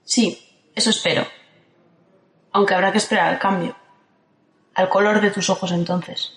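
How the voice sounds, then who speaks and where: A woman from playing a calm, ageless guardian who speaks in a steady, firm way in an audio drama. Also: mid-range voice, normal speed, slight background noise, natural voice. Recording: roomy echo.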